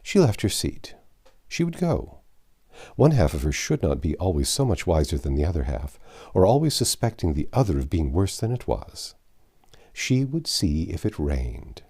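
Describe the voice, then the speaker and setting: A man with a very low, mellow voice reads literary fiction aloud. His pitch moves widely as he tells the story, with measured, deliberate phrasing. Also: very animated, breathy edge. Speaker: audiobook narrator. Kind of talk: literary novel reading, scripted narration.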